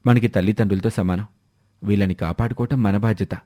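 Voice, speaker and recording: A man's voice: lowish voice, steady tone, a little fast, warm tone, voice pushed down, natural voice. A man voicing a young son-in-law who speaks earnestly and reflectively.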